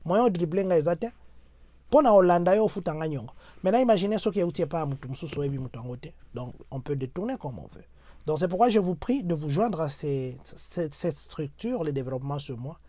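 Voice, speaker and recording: A man's voice: a little high, animated, brisk, dark tone, dry recording. A man with a Congolese French accent, hosting a channel and urging viewers in a repetitive, rhetorical style, slipping into Lingala now and then. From Democratic Republic of the Congo. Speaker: host of a diaspora channel. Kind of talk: exhortative address to viewers.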